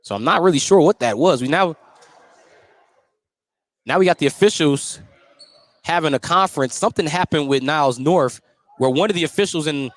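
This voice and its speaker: High-pitched man calling basketball play-by-play. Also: moderate pace, pauses often, dry recording. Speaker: play-by-play radio announcer. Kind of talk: live basketball play-by-play commentary.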